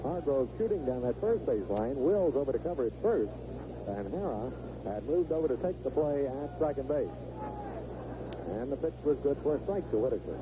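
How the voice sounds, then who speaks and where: Male baseball announcer, vintage radio play-by-play, mid-range pitch, clipped phrases, tinny narrow band, noisy ballpark behind. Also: animated, a little fast. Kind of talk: live radio sports commentary, 1970s.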